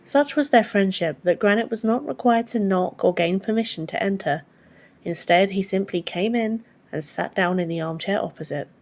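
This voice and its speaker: A woman's voice: medium pitch, moderate pace, darkish tone. A woman reading scripted fantasy fiction as an audiobook narrator.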